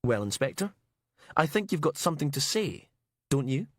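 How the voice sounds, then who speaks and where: A man's voice: on the high side, big pitch swings, normal speed, fairly bright tone, dry recording, clean studio sound, voice pushed up. A man voicing an imperious, icy woman pathologist in an audiobook, clipped, cutting and indignant. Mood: triumphant. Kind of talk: audiobook character acting.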